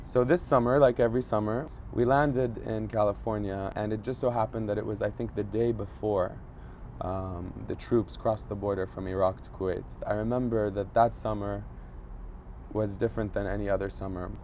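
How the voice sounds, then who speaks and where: A man in his thirties who grew up in Egypt, a journalist telling a personal story in an interview, pausing often to search for words. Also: lowish voice, fairly even intonation, darkish tone, a little hiss or hum. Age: 30s. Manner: thoughtful, searching for words, frequent fillers. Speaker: journalist guest.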